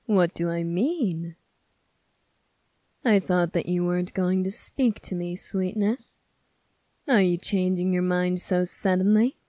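A woman playing a smug, scornful male demon prince in an ASMR roleplay, speaking in a condescending, deliberate way. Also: fairly low pitch, mellow, dry recording, clean studio sound. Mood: smug, taunting.

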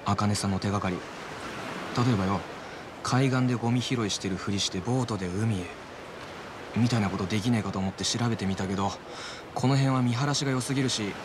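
男性が演じる早口で低めの、ぶっきらぼうな男. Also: male voice, fairly low pitch, natural voice. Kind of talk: video game cutscene drama acting. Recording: clear outdoor street background noise.

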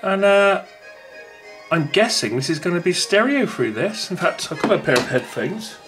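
A man's voice: sits high, expressive, moderate pace, music in the background. A man rambling casually as he reviews a small gadget at home, with frequent restarts. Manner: rambling, chatty, many restarts. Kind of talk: casual unboxing talk.

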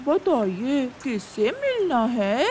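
Cartoon crow dad, female performer, highish pitch, very animated melody, polite and full of regret. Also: slow pace, slight background noise, exaggerated voice. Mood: polite, welcoming. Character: polite, remorseful male crow, a grieving father. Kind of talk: Hindi animated moral-story dubbing.

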